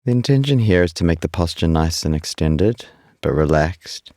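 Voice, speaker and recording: A man leading a guided body scan meditation in a calm, unhurried, soothing way, leaving long pauses between instructions. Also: low-pitched, dry recording, clean studio sound.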